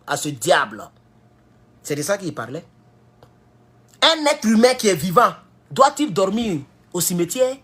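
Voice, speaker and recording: A man with an Ivorian French accent giving a heated political commentary, jumping from loud high outbursts to low mutters. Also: breathy edge. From Ivory Coast. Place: at home in California.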